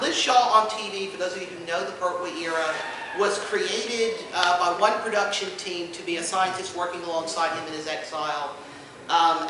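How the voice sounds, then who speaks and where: A very echoey, noisy room carries this man's voice from some distance. He is presenting at a fan panel in a high-pitched voice, informal and detailed in his explanations. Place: convention panel room, indoors.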